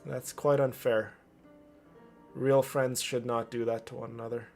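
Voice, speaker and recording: A man's voice: mid-range voice, level tone, a little slow, vocal fry, darkish tone. A man streaming to a community, talking casually and off the cuff in an earnest, emphatic way, often repeating phrases. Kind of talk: casual unscripted personal talk over gameplay. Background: game music and character voices.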